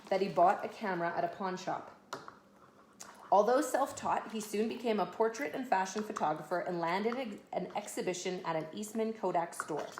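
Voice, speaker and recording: A woman reads a children's biography aloud to her kids, breaking into casual asides. Her pitch is average, and the room is echoey with faint noise behind her. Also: darkish tone. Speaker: mother reading a book aloud to her children.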